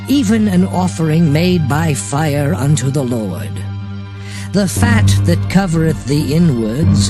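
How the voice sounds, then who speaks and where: Male scripture narrator, higher-pitched voice, solemn and formal dramatized audiobook read, music underneath. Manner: formal, measured reading of archaic scripture.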